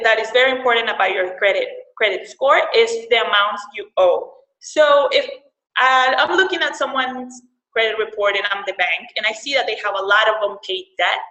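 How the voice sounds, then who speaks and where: A young woman from El Salvador explains credit in a webinar, her voice a little higher than most and very bright. She talks conversationally, repeating words and leaning on fillers. The sound carries a clear echo of the room. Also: moderate pace. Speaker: financial counselor presenting a webinar. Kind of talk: webinar presentation.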